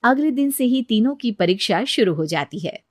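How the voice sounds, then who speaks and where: A woman's voice: fairly high pitch, lively intonation, moderate pace, darkish tone, no echo, clean studio sound. A woman narrating a scripted children's moral story as the storyteller.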